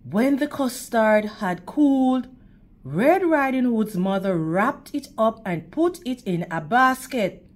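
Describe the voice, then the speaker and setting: A woman narrates a storytime read-aloud for children. She takes her time, with lively intonation and a teacherly, direct address to her young listeners. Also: a little high, takes it slowly.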